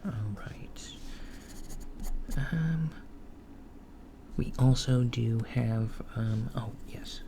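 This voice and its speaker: A man playing a calm, attentive male alien doctor in a softspoken ASMR roleplay, talking gently and hesitantly, with frequent ums and slips into a whisper. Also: mid-range voice, gravelly. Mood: gentle. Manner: soft-spoken, unhurried, slipping into whispers. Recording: lo-fi with steady background hiss.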